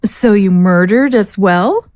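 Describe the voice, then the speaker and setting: A woman's voice: medium pitch, slow, darkish tone, dry recording, studio quality, lightly acted. A woman playing a righteous, severe swordswoman in a fantasy audio drama, accusing and indignant in manner. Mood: stern, accusing. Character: stern, righteous female swordswoman of a warrior order.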